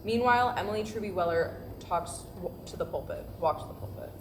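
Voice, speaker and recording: A woman's voice: mid-range voice, a little fast. Echoey woman reading stage directions. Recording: echoey, noisy room, live staged reading.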